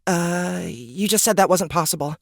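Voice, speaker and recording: A woman voicing an excitable, cheeky gamer daughter in an audiobook, brash and slangy. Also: lowish voice, moderate pace, dry recording, clean studio sound. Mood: eager, cheeky. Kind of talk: audiobook character acting.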